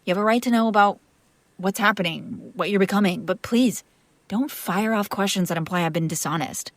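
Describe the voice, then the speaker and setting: Woman playing a lady vampire master in an audio roleplay, mid pitch, exasperated but caring, kinda sardonic, super dry close sound. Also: a little fast, no room sound, close to an everyday voice. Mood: flustered, defensive. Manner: conversational, defensive, sardonic. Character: female vampire master, exasperated yet protective caretaker. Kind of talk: intimate audio roleplay acting, one side of a conversation.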